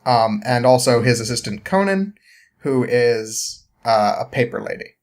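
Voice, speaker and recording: A young man with a mid-pitched voice talks as a podcast guest, recounting a story in a loose, rambling way. His delivery is informal and often breaks into chuckles. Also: expressive, unhurried. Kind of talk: casual chat, rambling story recap.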